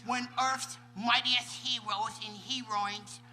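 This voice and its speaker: A man voicing a parody boxer with a soft lisp, fumbling through a heroic prologue in a live comedy radio play. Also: very high-pitched, fairly even intonation, slow, faint music behind, exaggerated voice. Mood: mock solemn. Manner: fumbles grand words, tacks on rambling filler.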